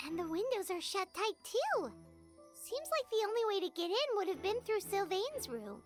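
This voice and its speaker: A woman's voice: very high voice, sing-song, normal speed, over music. A woman voicing a small chirpy fairy companion in a video game, who talks about herself in the third person. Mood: helpful.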